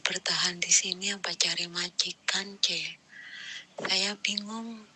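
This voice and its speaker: Hushed Indonesian woman, very sharp, noisy recording. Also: lowish voice. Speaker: migrant worker seeking advice. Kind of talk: personal voice message. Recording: noisy voice message with clicks.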